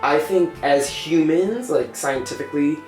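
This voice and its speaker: Animated young man in a roomy space. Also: on the high side, unhurried. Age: 20s. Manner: animated, expressive and chatty. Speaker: vlog co-host. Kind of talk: casual chat over wine. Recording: roomy sound with faint music.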